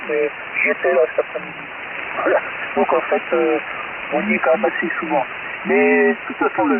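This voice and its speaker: Man, higher-pitched, lively swooping intonation, rambling ham radio chat, shortwave transmission. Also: gravelly. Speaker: amateur radio operator. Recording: narrow-band shortwave radio, very loud hiss.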